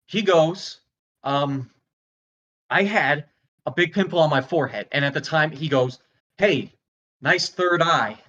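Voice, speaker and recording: A man playing a volatile, failing stand-up comedian in a screenplay read, halting, stammering and exasperated. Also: on the high side, fairly bright tone, dull audio. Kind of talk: dramatic screenplay table read, stand-up monologue.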